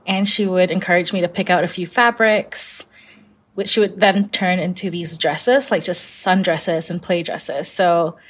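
A woman's voice: lowish voice, steady tone, measured pace, slightly rough. A young woman from Malaysia, a fashion designer answering interview questions in a thoughtful, hesitant way. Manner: thoughtful, many fillers and restarts.

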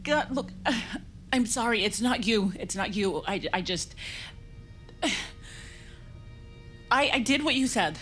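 A protective mother in a horror audio drama, her voice fairly high and anxious. She speaks in broken, stammering bursts, with clear music underneath. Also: animated, slow pace, long pauses, a bit bright. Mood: apologetic. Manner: breathy stammering urgency, broken phrases. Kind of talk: naturalistic audio drama acting.

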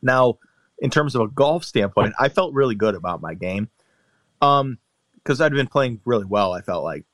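A man's voice: medium pitch, expressive, moderate pace, a bit bright, no echo. A man chatting casually on a podcast, telling long, rambling, self-deprecating stories into a close mic. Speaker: golfer guest and regular of the podcast.

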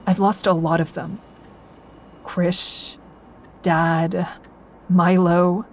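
A woman's voice: on the low side, level tone, very slow. A woman playing a sardonic, anxious insomniac, confiding dryly as she narrates a first-person audio drama. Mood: fed up, grieving. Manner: wry, confiding, dry.

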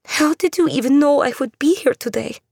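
A woman's voice: sits high, brisk, vocal fry, a little mellow, no room sound, clean studio sound, voice pushed up. A woman voicing a frightened, wary young woman who keeps asking anxious questions. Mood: fearful.

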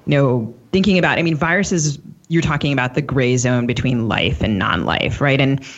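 A woman's voice: low-pitched, husky. A woman co-hosting a podcast, asking questions in a conversational interview style. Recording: dull, lo-fi audio.

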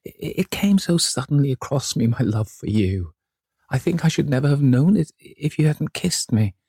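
A man's voice: medium pitch, lively intonation, moderate pace, dark tone, breathy edge, no room sound, studio quality, pitched above the performer's own voice. A man voicing a desperate young actress who pleads tearfully with her lover, in an audiobook. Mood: pleading. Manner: tearful, imploring, breathless pleading.

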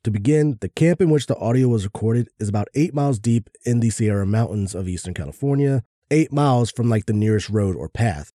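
A man's voice: lowish voice, lively intonation, fairly quick, hardly any pauses, mellow, dry recording, clean studio sound. A man co-hosting a casual chat and reading out research. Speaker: podcast co-host.

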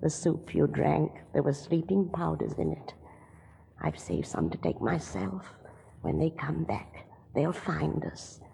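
An actress playing a bitter, obsessive, middle-aged murderess in 1940s radio drama, intense and confessional. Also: female voice, sits low, lively intonation, unhurried, gravelly, warm tone, a little hiss or hum, heavily acted. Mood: hushed, menacing. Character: murderous aunt and mother, bitter, obsessive, chillingly calm. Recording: reverberant 1940s radio broadcast.

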